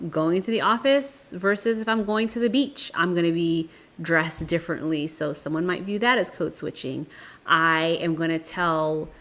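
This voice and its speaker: A woman co-hosting a casual debate podcast, laughing often and shifting between quick and drawn-out phrasing. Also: low-pitched, fairly bright tone. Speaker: podcast co-host.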